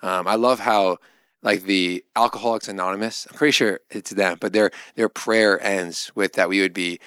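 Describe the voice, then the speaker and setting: A man's voice: low voice, fairly even intonation, moderate pace, a bit bright, clear, resonant tone, dry recording. A man co-hosting a podcast, talking through religious ideas in a conversational, explanatory way with lots of "like". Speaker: podcast co-host. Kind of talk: casual religious discussion.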